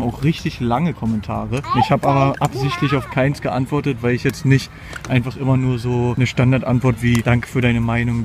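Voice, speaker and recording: A man's voice: medium pitch, noisy background. A young man from Germany, chatting casually and slangily to a phone camera held at arm's length, first outdoors at a playground, then in a bedroom at home. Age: young adult. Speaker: vlogger, au pair. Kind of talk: casual vlog chat.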